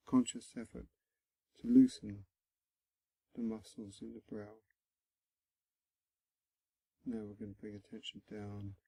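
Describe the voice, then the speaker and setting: Male meditation guide, gentle and very unhurried, with a creaky, mellow voice in a close, dry recording. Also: fairly low pitch, dry recording, low-quality recording. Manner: gentle, instructional, with frequent pauses between short phrases. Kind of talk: guided body scan meditation.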